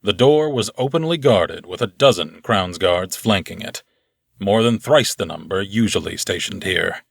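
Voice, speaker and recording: A man playing a grave, loyal royal guard officer in an audio drama, with a gravelly, husky voice. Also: deep voice, animated, normal speed, no echo, exaggerated voice. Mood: somber narrating. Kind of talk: audio drama acting and first-person narration. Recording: dry close recording under music.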